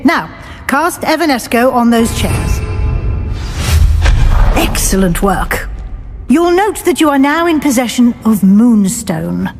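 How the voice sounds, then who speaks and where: A woman playing a brisk, kindly professor of magic in a video game, with an articulate, instructive way of talking. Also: a little high, very animated, music in the background, exaggerated voice. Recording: hall reverb, background ambient noise.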